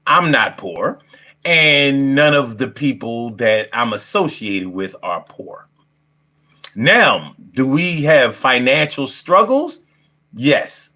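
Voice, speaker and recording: Male streamer, fifties, brash and slangy, forceful bright voice with big pitch swings. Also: slow pace. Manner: brash, heavily profane, slangy street talk; animated, repeats phrases for emphasis. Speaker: livestream host. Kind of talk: casual livestream chat.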